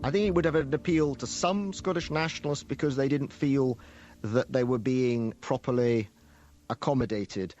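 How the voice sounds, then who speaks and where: A man's voice: mid-range voice, normal speed, darkish tone, soft background music. A man speaking as a cultural historian, giving an explanation in a documentary interview. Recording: dull, dry lo-fi interview sound.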